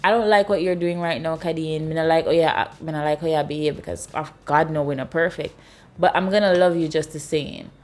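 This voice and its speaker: A woman in her thirties with a Jamaican accent, hosting a casual chat and telling stories with acted-out dialogue. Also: fairly quick. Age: 30s. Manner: animated storyteller, acts out dialogue in high voices. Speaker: host.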